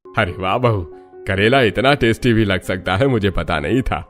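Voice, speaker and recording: A man's voice: on the low side, moderate pace, soft background music, natural voice. An actor playing an older father-in-law in a cartoon family drama, paternal, emphatic, kindly but firm. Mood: warm, delighted.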